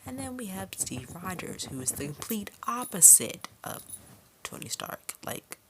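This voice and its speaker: A woman's voice: medium pitch, very animated, a little slow. A woman giving a casual, rambling film review in an informal, chatty way, often interrupting herself with tangents. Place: her room at home. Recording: clearly noisy home recording with clicks.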